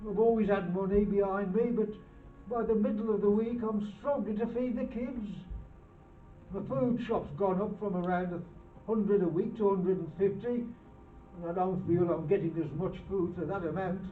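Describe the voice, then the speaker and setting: A person giving a dramatic, deliberate and emphatic reading of a personal testimony at a charity show. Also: medium pitch. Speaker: reader in a charity poverty show. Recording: distant, echoey, phone-like sound with music.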